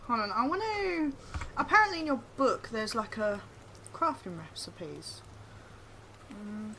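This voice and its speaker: A woman with a bright, slightly high voice talks through crafting recipes in a relaxed, methodical way. Her humor is dry, and a faint hiss sits under her voice. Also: unhurried. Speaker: gaming co-host. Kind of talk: casual let's-play chat.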